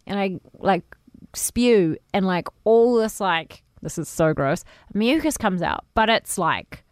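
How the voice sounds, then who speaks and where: A woman's voice: mid-range voice, lively intonation, takes it slowly, no echo, clean studio sound. A woman, co-hosting a casual podcast chat, rambling and swearing freely while recovering from pneumonia. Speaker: co-host.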